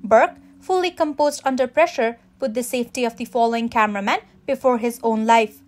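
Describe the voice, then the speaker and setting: A woman narrating a scripted entertainment news voice-over in an even, read-aloud manner. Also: fairly high pitch, fairly quick. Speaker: channel narrator.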